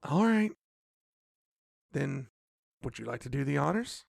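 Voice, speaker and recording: An actor playing a cautious, nervous magical companion in an audio drama, creaky and admonishing. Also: mid-range voice, very animated, unhurried, a little mellow, no room sound, studio quality, heavily acted. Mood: cautious, instructive. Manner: wary, admonishing.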